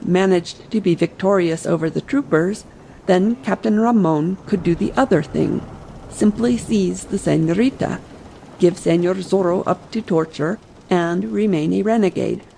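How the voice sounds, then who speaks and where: A woman's voice: fairly low pitch, vocal fry, dark tone, a little hiss or hum, poor audio. A woman narrating a story in a scripted audiobook style meant for bedtime listening. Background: ambience under the voice.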